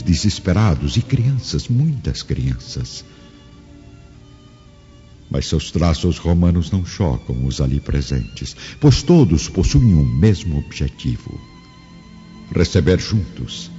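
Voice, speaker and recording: An actor playing the narrator of a radio drama, solemn, deliberate and literary in his scripted storytelling. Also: deep voice, mellow. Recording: dull lo-fi recording, faint music behind. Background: faint music.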